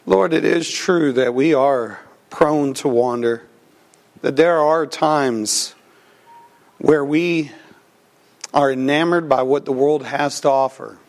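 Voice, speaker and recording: A man preaching at an unhurried, deliberate pace, his voice slightly froggy as he recovers from a cold. His pitch sits a little high for a man. He reads scripture, prays, and tells stories from the pulpit. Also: gravelly. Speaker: pastor. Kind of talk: sermon with prayer and personal story.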